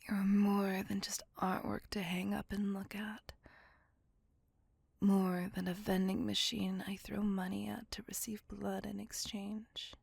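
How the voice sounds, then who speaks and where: A woman playing a courteous, doting, possessive vampire in a hushed, breathy ASMR roleplay, speaking gently in formal, courtly phrases. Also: medium pitch, little pitch movement, measured pace, no echo, full character voice. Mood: earnest, reassuring. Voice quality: breathy, hushed near-whisper.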